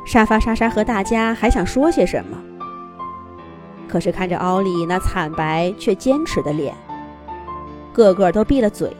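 A woman's voice: medium pitch, expressive, moderate pace, long pauses, music in the background. A woman narrating a children's fairy tale expressively from a script, as a storyteller.

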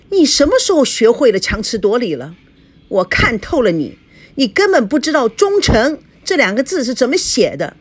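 Woman acting a shrill jealous wife, scolding. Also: exaggerated voice. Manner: sarcastic, accusing, scolding. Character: jealous, sharp-tongued, hot-tempered young wife.

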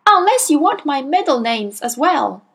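A woman's voice: high-pitched, sing-song, moderate pace, a bit bright, voice pushed up, exaggerated voice. Woman voicing a cheeky little schoolboy. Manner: polite, cheeky, unflustered. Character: brave cheeky small schoolboy.

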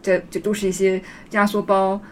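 A woman's voice: mid-range voice, monotone, normal speed. A talkative woman hosting a casual group chat, speaking in a reflective way. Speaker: podcast host. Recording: faint background noise.

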